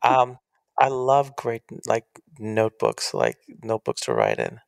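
A man's voice: fairly low pitch, huge pitch swings, measured pace, gravelly, smooth, dry recording, studio quality. A man, an author as guest, talking earnestly and animatedly in a casual interview.

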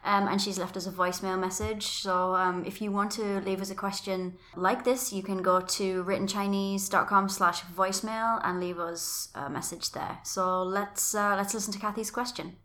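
A woman co-hosting a podcast, chatting casually and explaining things in a measured way. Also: mid-range voice, level tone, a bit bright. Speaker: podcast co-host. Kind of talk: casual chat.

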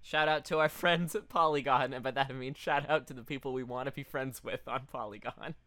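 A man's voice: medium pitch, a little fast, pushed and bright, no echo. A man co-hosting a podcast with casual, profane, playful banter that wanders into tangents. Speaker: podcast co-host.

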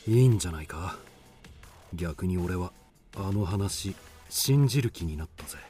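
A man's voice: low-pitched, expressive, unhurried, warm tone, faint music behind. A man playing a reckless, passionate samurai in a radio drama, speaking intense, archaic warrior lines. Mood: grim, low. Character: reckless, passionate young samurai in forbidden love.

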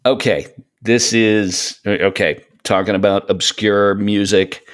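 Deep-voiced man, enthusiastic rambling talker. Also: steady tone, unhurried. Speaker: podcast guest, voice of an audio show. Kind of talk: casual conversational interview.

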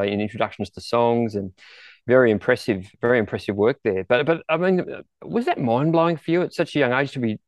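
A man with a lowish voice hosts an interview. He speaks conversationally and a little haltingly as he builds his questions, and the sound is dry and close. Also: dry recording. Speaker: interviewer, show host.

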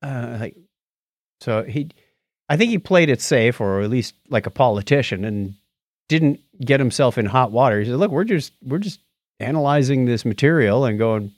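A man's voice: mid-range voice, lively intonation, moderate pace, dry recording. Casual man co-hosting a chatty podcast, close dry sound. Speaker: podcast co-host. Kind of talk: casual chat.

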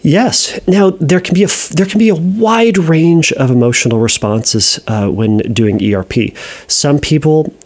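A man's voice: fairly high pitch, unhurried, a little mellow. A man working as a therapist, answering listener questions on a podcast in a hesitant way, with many fillers and restarts. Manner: reads listener letters aloud.

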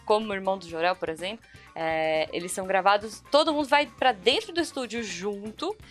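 A woman's voice: medium pitch, a little fast. A woman with a Brazilian Portuguese accent hosting a podcast, explaining things casually and speaking informally straight to the listeners. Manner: chatty, informal, addresses listeners directly. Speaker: podcast host. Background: background music.

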